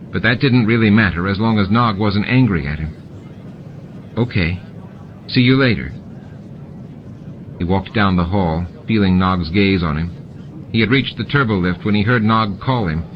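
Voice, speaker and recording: A man's voice: on the low side, moderate pace. A man narrating a scripted story as an audiobook reader. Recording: muffled, with clear background noise. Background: noise and ambient sound effects.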